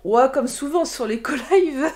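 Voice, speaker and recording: A woman's voice: high voice, animated, unhurried, a little mellow, a little hiss or hum. A woman in her late thirties co-hosting a casual live stream, chatting and reacting to viewer messages. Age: late 30s. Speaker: livestream co-host. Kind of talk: casual livestream chat. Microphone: webcam livestream.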